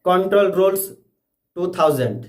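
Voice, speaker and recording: A man presenting a scripted educational explainer in deliberate, separate phrases. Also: sits high, animated. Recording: echoey room.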